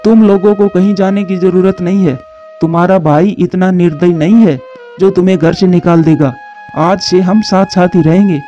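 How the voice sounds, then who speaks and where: A man voicing a gruff, commanding male pigeon householder in a cartoon, on slightly dull audio. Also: sits high, fairly even intonation, moderate pace, warm tone, soft background music, close to an everyday voice. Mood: kindly. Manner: scolding, commanding.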